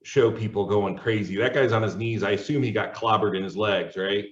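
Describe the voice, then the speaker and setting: A man's voice: on the low side, steady tone, fairly quick. A man hosting a casual talk show, chatting informally. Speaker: host. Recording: roomy, distant, dull microphone sound.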